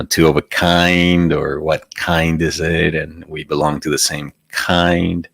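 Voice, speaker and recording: A man's voice: a bass voice, dry recording. A man from Venezuela, giving a reflective spiritual talk as a meditation teacher and often asking rhetorical questions. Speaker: meditation teacher hosting a live stream.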